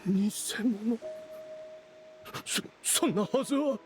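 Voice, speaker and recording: A woman's voice: on the high side, slow pace, music in the background. A woman acting in a Japanese game dub as a bitter, vengeful woman. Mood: shocked disbelief.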